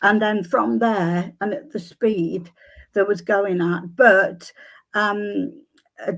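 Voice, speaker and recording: Slow, hesitant older Englishwoman, a bit croaky. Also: medium pitch, steady tone. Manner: hesitant, many ums and restarts. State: slightly croaky voice. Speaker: psychic guest. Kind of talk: conversational interview answers.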